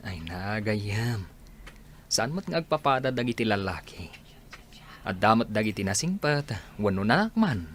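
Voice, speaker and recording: A man's voice: lowish voice, big pitch swings, unhurried, a bit bright, slight background noise. A man playing a coaxing, easygoing friend and co-worker in a radio drama.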